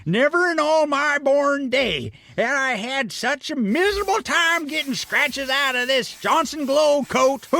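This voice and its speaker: A man's voice: extremely high pitch, animated, slow, vocal fry, few pauses, bright, forceful tone, no echo, full character voice. A man voicing a wrinkled old mole janitor in a comic audio drama, with a chuckling, folksy old-timer way of talking. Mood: folksy, grumbling. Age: older.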